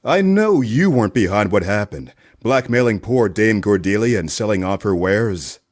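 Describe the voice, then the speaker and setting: A man's voice: fairly low pitch, expressive, normal speed. A man playing a dogged, wordy private detective with theatrical hardboiled delivery in a comedic noir radio play. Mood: probing. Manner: theatrical, hardboiled first-person narration and probing questions.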